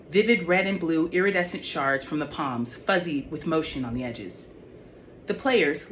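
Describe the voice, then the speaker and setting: Low-pitched woman reading, noisy recording. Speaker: author reading own book. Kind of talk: audiobook-style reading of young adult fiction. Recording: clearly noisy background.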